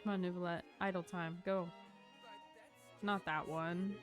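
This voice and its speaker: A woman's voice: fairly low pitch, fairly even intonation, measured pace, soft background music. A woman giving casual live stream commentary on a game, chatting in a gushing, giggly, informal way.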